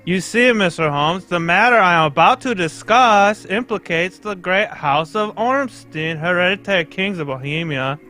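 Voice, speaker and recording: A man playing a haughty, formal king with a pompous, halting delivery in a radio play reading. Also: high-pitched, lively intonation, pushed and bright, soft background music. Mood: guarded, formal. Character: King of Bohemia, male, formal, haughty royal visitor. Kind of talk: live radio play reading, old-time radio acting.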